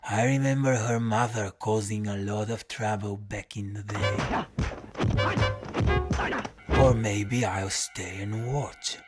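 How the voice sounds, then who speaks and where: An actor playing a battered, deadpan, hard-drinking folk-hero detective who narrates his own audio drama. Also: on the low side, measured pace, lightly acted. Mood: wry. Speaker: lead character and narrator. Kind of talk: first-person audio drama narration and dialogue. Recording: music under the voice.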